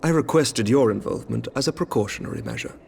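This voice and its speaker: An actor playing a shrewd, composed middle-aged knight commander who speaks formally and measuredly in archaic diction. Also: male voice, mid-range voice, expressive, fast, vocal fry, darkish tone, a little hiss or hum. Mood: calm, diplomatic. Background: orchestral game score.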